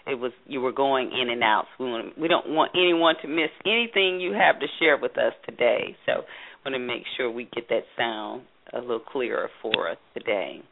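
A woman's voice: a bass voice, moderate pace, creaky, slight background noise. A woman hosting an interview show, chatty with many fillers, heard over the phone. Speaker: host. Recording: phone line, narrow band.

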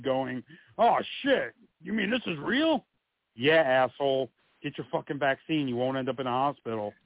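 A man co-hosting a casual podcast chat, rambling and laughing often, swearing freely, his voice going hoarse. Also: fairly high pitch, no echo. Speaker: co-host. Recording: tinny phone-like line, dry.

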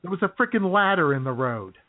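A man's voice: sits high, very animated, quick pace, no room sound. An American man co-hosting a talk show in casual, jokey banter, often chuckling as he goes. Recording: tinny, phone-like band-limited sound.

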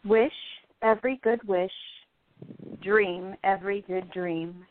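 A woman's voice: medium pitch, steady tone, takes it slowly, natural voice. A woman playing a tender, dreamy, grateful young actress in an amateur radio play. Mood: wistful. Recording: noisy, tinny phone-like sound.

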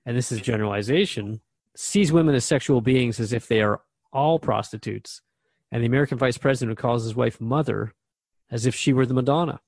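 A man's voice: medium pitch, gravelly, a little mellow, no echo. A man playing a thoughtful, curious radio host in a radio drama, questioning a spirit guide in a reflective, conversational way.

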